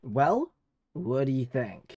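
A man's voice: mid-range voice, very animated, takes it slowly, warm tone, husky, no room sound, dull audio, full character voice. A man playing a boisterous, impatient big party-lover in a fantasy audio drama, exuberant and prone to grumbling. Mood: proud.

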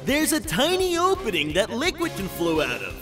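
An actor voicing a lazy, smug teenage boy in a cartoon parody dub, self-satisfied and deadpan in attitude. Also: mid-range voice, moderate pace, faint music behind.

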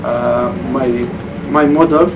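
A man in his thirties with a Saudi Arabian accent, a former student answering interview questions in halting English with frequent restarts, recorded in a computer lab. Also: mid-range voice, fairly even intonation. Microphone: far camera mic. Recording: distant camera mic, air conditioning hum.

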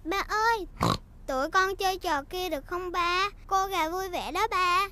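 A woman's voice: very high voice, a little slow, sharp tone, exaggerated voice. A woman voicing a playful, bossy little girl pig with a childlike, eager delivery in a cartoon dub. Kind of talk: Vietnamese-dubbed preschool cartoon acting. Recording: cartoon music behind.

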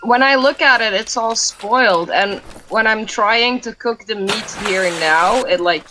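A woman's voice: fairly high pitch, unhurried, noisy background. A woman, non-native English speaker, chatting and joking with teammates during a co-op game. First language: not English. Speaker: co-op player. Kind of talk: casual gaming voice chat.

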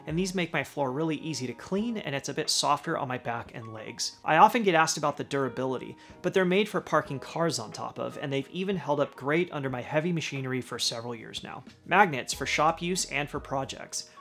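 A man's voice: a little high, a bit bright. A middle-aged man hosting a woodworking channel, reading a scripted product rundown in his garage workshop with an upbeat, list-like delivery. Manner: upbeat list-style delivery, dropping at the end of each item.

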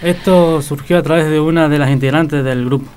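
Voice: medium pitch, fairly even intonation, brisk, darkish tone, a little hiss or hum. A car club member telling how the group started, speaking hesitantly with fillers in a radio interview.

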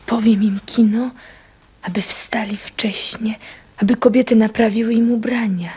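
Breathy, dutiful young wife. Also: female voice, on the high side, steady tone, measured pace, mellow. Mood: quietly dutiful. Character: dutiful, gentle village fisherman's wife. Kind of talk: Polish radio drama acting.

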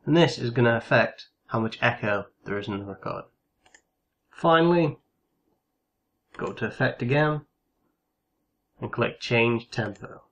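Casual man hosting a software tutorial. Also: mid-range voice, unhurried, pauses often, lo-fi sound. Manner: casual, instructional. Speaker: tutorial host.

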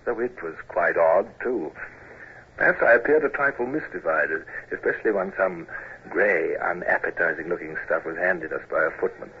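An actor playing a proud, awkward British naval commodore in a radio drama, speaking formally with clipped, sometimes stammering delivery. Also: male voice, sits low, moderate pace, husky, exaggerated voice. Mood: bemused. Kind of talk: 1950s radio drama acting and narration. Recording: muffled, noisy 1950s radio recording.

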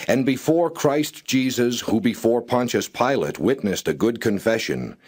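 A man narrating the Bible as a straight, non-dramatized reading, in formal, measured and solemn phrasing. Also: mid-range voice, darkish tone.

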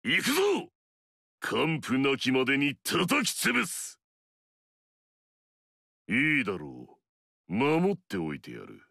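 A gravelly, arrogant warlord. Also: male voice, mid-range voice, wildly swooping pitch, slow pace, pauses often, pushed and bright, dry recording, studio quality, heavily acted. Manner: swaggering, barking battle cries. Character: arrogant mighty male warlord, brash and fierce. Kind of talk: video game voice lines.

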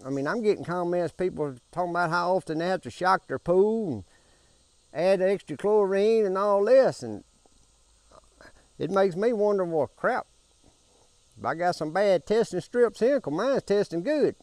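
A man's voice: high voice, animated, normal speed, a little mellow, no echo. An older man gives a folksy, casual how-to talk outdoors by his backyard pool, speaking to a camera a few feet away. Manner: folksy, down-home, repeats himself. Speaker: homestead vlogger.

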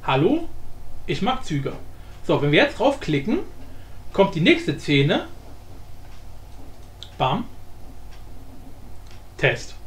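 A man giving a casual, informal software tutorial, explaining step by step. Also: fairly high pitch. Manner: informal, explanatory, often adds tag questions. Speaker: tutorial host. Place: indoor room, voice-over of screen recording. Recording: roomy echo with faint background noise.

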